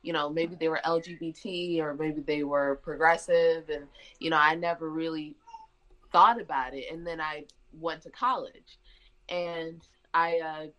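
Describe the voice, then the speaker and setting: A woman's voice: low voice, fairly even intonation, measured pace, fairly bright tone, rough recording. A woman in her twenties from Michigan, a guest chatting casually on a talk show with lots of restarts and fillers. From Michigan, USA. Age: 20s. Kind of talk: casual conversation.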